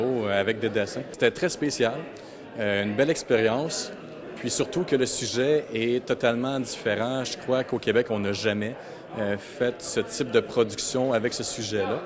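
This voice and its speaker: A man with a Quebec French accent talks informally in an interview as a video editor. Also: mid-range voice. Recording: busy crowd chatter behind.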